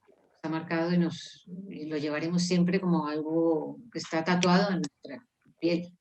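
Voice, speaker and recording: A woman's voice: low-pitched, fairly even intonation, normal speed, a little mellow, a little hiss or hum, low-quality recording. A woman around sixty who grew up in Mexico, a musician and poet speaking as a guest over a video call, thoughtful and earnest with frequent hesitant restarts. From Mexico (grew up in Puebla, born in Colombia). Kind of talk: reflective conversational interview.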